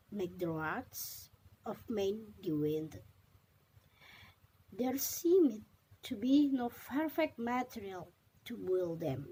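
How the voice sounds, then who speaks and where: A young woman reading a story aloud as a student narrator, with halting, deliberate phrasing and some mispronounced words. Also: medium pitch, dark tone, slight background noise, low-quality recording. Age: young adult.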